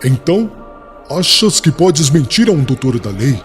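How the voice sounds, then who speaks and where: A man's voice: a little high, big pitch swings, moderate pace, soft background music. A man playing a young religious inquisitor, formal, commanding and coldly cruel, in a dramatized audiobook. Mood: menacing.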